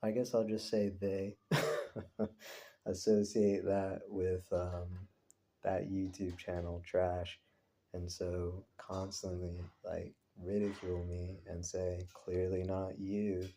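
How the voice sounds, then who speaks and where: A man recording a casual personal vlog, talking in a rambling, introspective, halting way. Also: low voice, flat delivery, warm tone, slight background noise. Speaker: vlogger.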